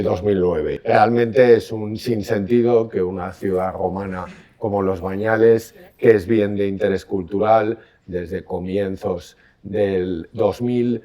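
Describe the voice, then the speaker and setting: A man's voice: deep voice, warm tone. A man speaking as an archaeologist in a documentary interview, explaining the site in an academic, explanatory way.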